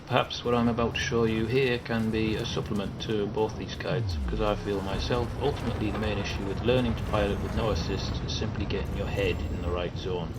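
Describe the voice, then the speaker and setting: A man with a northern English accent that slips into southern English at times, narrating a partly scripted game tutorial in a measured, explanatory way with many careful qualifications. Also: on the low side, noisy background. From England. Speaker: video game guide maker.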